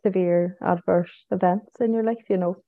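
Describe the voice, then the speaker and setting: A woman's voice: lowish voice, fairly even intonation, moderate pace. A Northern Irish woman chats as an interview guest, repeating phrases and filling gaps with "you know". Her voice comes through thin and phone-like, with no room echo at all. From Northern Ireland. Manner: chatty, repeats words, tags sentences with "you know" and "so it is". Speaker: podcast guest, psychic medium. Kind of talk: casual interview chat. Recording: tinny phone-like line.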